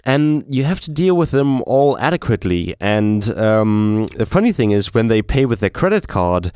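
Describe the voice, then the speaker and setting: Young man, German accent, smooth clear voice, dry close sound, rambling vlog chat. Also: lowish voice, sing-song, normal speed, darkish tone, no echo. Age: young adult. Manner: rambling, many fillers and restarts. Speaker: YouTuber.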